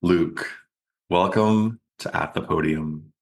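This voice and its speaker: A man hosting an interview show, reading a measured scripted introduction and then asking questions. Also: sits low. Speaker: podcast host.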